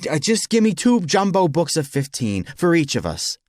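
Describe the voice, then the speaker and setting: Man acting out irritable suspicious dad, gruff, exasperated, raised pitch, audiobook dialogue, dry sound. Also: wildly swooping pitch, moderate pace, darkish tone, no room sound. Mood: grudging, exasperated. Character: irritable, suspicious husband and father at theme park.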